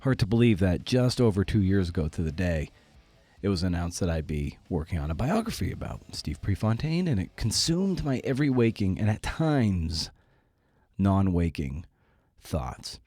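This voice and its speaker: Male podcast host, lower register, wry and self-deprecating, conversational, very dry recording. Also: very animated, moderate pace, darkish tone, slightly rough, no room sound, soft background music. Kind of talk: casual podcast interview and wry monologue.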